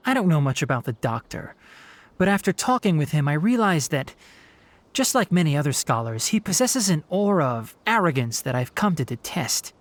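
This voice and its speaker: An actor playing a young, earnest and principled scholar in a video game, speaking in a measured, formal, guarded way. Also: male voice, a little high, big pitch swings, a little hiss or hum. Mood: concerned, reflective.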